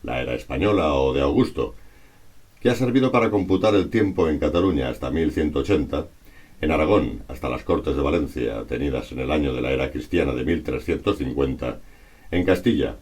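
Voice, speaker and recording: A man from reads a scripted historical narration in a formal, measured, bookish manner. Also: very deep voice, darkish tone.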